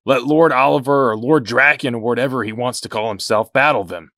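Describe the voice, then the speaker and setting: A man acting as a graying rebel soldier in an audio drama, bitter and quick to anger. He is gruff, blunt and distrustful, with a medium-pitched voice in a dry recording. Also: sharp tone, dry recording. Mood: bitter, sullen.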